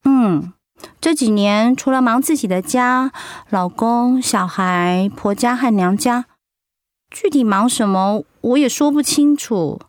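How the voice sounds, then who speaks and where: Radio drama: female actor for a candid, warm middle-aged woman who has survived illness, higher-pitched, very dry studio sound. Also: slow pace, no room sound. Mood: weary, candid. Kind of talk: radio drama acting, conversational.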